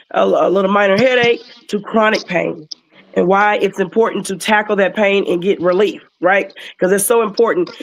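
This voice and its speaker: A woman with a hoarse voice, hosting a casual livestream over a video call, chatting as she sets things up. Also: medium pitch, rough recording. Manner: chatty, thinks aloud while working the stream setup. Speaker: livestream host.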